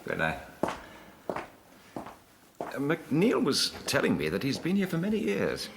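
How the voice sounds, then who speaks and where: An actor playing a courteous, slightly fussy 1920s gentleman scholar with an upper-class English accent in a radio drama. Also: mid-range voice, wildly swooping pitch, takes it slowly, creaky, background noise, full character voice. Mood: curious, flustered. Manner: polite, slightly fussy, donnish. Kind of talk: radio drama acting, letter narration.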